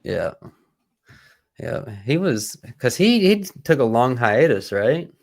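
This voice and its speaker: A man co-hosting a casual music chat, with a gravelly texture in his voice. He talks in a laid-back, hesitant way, often pausing on ums and uhs. The sound is dry and close. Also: mid-range voice, very animated, dry recording. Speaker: podcast co-host.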